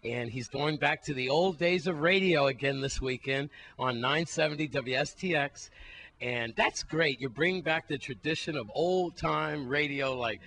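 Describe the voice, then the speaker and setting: Gravelly, bright-voiced male TV interviewer. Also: fairly high pitch, normal speed. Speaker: TV news reporter interviewing a guest. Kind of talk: casual, lighthearted interview chat.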